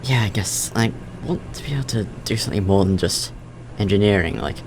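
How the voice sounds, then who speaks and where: A man's voice: fairly low pitch, noisy background, natural voice. Actor as a hesitant, nervous young man. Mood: shy, wistful. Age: young adult. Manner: hesitant, halting, apologetic. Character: nervous, earnest young male job candidate, guilt-ridden. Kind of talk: audio drama acting.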